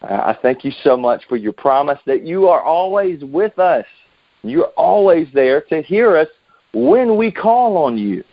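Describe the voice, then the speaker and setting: A man's voice: a little high, sing-song, unhurried, no room sound. An American man giving an informal, earnest and encouraging devotional in a conversational way over a conference call line. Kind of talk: informal sermon, scripture exposition. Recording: dull lo-fi conference call audio.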